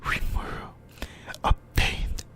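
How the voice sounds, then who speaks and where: A person whispering breathily in a halting ASMR explainer about anime lore, often repeating phrases. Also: fairly bright tone. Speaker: YouTuber, ASMR host.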